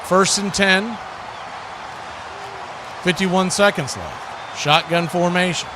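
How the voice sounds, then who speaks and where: A man's voice: high-pitched, slow pace, background noise. A man calling American football live as a play-by-play commentator. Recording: loud crowd roar and music behind.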